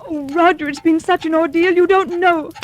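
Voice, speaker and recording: A scheming widow. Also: female voice, very high-pitched, fairly even intonation, normal speed. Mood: coaxing. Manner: coaxing, then scornful. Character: scheming young widow, poisoner, cold. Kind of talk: 1940s radio acting. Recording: faint hiss, old radio broadcast.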